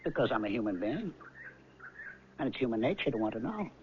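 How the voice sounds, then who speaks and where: Guy acting as an earnest restless man in an old radio play, dramatic pauses, tinny noisy recording. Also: mid-range voice, big pitch swings, natural voice. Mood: restless, weary. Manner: theatrical, emphatic, halting dramatic pauses. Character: earnest, restless man disillusioned by knowledge, later Adam.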